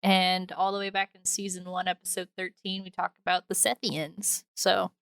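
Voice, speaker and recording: A woman's voice: lowish voice, flat delivery, moderate pace, creaky, a bit bright, no room sound. Woman, podcast host, casual outro, slangy and jokey, clean resonant voice, very dry recording.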